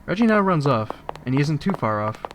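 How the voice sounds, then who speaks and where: A man's voice: a little high, sing-song, brisk, very noisy. A man narrating a scripted story as the narrator of an audio drama.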